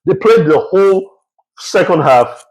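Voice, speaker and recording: A man's voice: high-pitched, animated, unhurried, a little mellow, poor audio. A man co-hosting a football podcast in casual chat, emphatic and fond of repeating his catchphrases. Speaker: podcast co-host.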